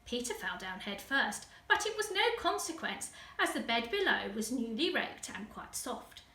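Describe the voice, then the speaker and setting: An English woman narrates a children's storybook in a bright, high voice. She reads with animated, dramatic emphasis for young listeners. The room echoes around her. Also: normal speed. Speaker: school teaching assistant reading a story. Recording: roomy echo, distant microphone.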